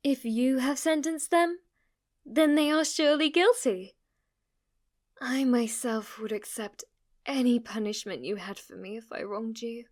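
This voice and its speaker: A woman acting a slow, worshipful demon maid. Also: fairly high pitch, natural voice. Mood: deferential, composed. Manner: formal, deferential, breathless adoration, sometimes whispering. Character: devoted, submissive, obsessively worshipful female demon maid servant. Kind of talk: ASMR roleplay.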